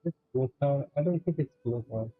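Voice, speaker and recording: A man's voice: mid-range voice, moderate pace, no echo. A man casually commenting live as a game streamer, on a dull, dry mic. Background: game music.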